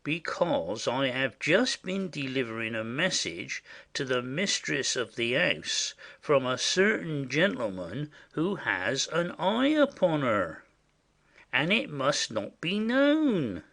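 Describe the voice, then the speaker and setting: A man playing a simple, indiscreet rustic messenger in a classic stage comedy, chatty and confiding in a secretive, halting way. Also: mid-range voice, sing-song, gravelly, a bit bright, full character voice.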